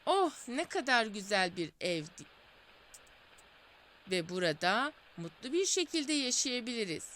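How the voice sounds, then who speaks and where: A hesitant, put-upon fisherman from a storybook tale, as a woman narrator puts him on. He asks for things nervously and politely, his lively voice lifting high as he pleads. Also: unhurried, a little hiss or hum. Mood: pleading. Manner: timid, pleading. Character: meek, hesitant old male fisherman.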